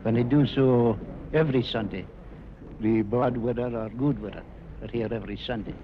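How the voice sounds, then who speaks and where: A man's voice: medium pitch, normal speed. An older man with a Scottish accent giving his views in an interview recorded in the 1950s. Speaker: hospital patient. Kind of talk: spoken testimonial. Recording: muffled, noisy 1950s location recording.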